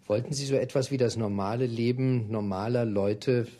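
A man interviewing in a formal, courteous manner, putting long, prepared questions in measured sentences. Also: mid-range voice, steady tone, warm tone.

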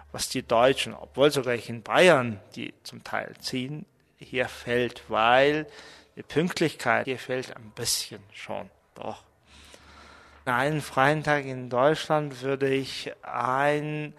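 A middle-aged man from Mongolia, speaking with a Mongolian accent and talking thoughtfully about his life, pausing as he searches for words. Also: mid-range voice, fairly bright tone, in a large hall. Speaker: interviewee. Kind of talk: portrait interview, personal reflections.

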